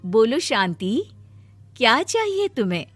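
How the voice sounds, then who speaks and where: A woman voicing a tiny, motherly magic fairy with a soothing, reassuring manner in an animated moral story. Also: on the high side, measured pace, no echo, soft background music. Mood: gently promising.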